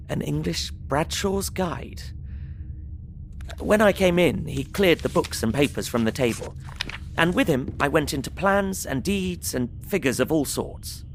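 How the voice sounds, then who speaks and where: An actor playing a polite, earnest young man, narrating his diary in measured, formal phrasing for an audio drama. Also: medium pitch, slight background noise, close to an everyday voice. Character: Jonathan Harker, polite young Englishman, earnest diarist. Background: music.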